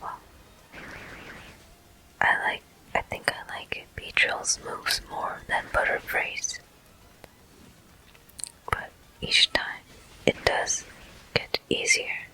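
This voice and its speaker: A person whispering ASMR commentary over a video game walkthrough in a hushed, unhurried, explanatory way, pausing between short phrases. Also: rough recording. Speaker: gameplay host.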